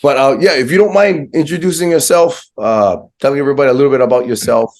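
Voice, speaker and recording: A man with a slightly hoarse voice hosting a casual, brotherly interview in informal slang. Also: mid-range voice, fast talker, a little mellow. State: hoarse, recovering from a viral infection. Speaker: podcast host.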